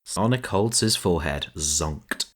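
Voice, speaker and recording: A man's voice: sits low, expressive, unhurried, fairly bright tone. A man narrating an audio drama in a dramatic, scripted storytelling style.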